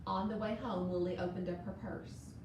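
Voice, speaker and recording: Lady doing an expressive kids story read aloud, real echoey, far from mic, noisy. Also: lowish voice, normal speed. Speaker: storyteller for children. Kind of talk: children's picture book read-aloud. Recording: very echoey room, distant mic, noise.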